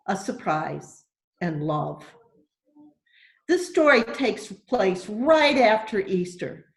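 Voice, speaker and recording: A woman reading a Bible story aloud for children, in deliberate, simple phrases as a church storyteller. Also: medium pitch. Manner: deliberate, simple phrasing with questions to young listeners. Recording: echoey room.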